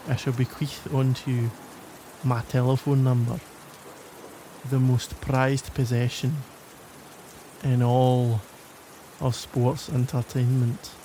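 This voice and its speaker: A man's voice: mid-range voice, background noise. A man with a Glaswegian accent playing a flirty, grumbly stranger in a conversational ASMR roleplay, wry and self-deprecating. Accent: Glaswegian Scottish.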